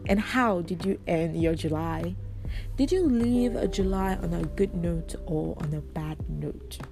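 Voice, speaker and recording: Young woman hosting her podcast, raspy with a cold, talks slow and chatty. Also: fairly low pitch, animated, over music. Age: 20s. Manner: chatty, confiding, laughs often. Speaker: podcast host. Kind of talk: casual solo podcast chat.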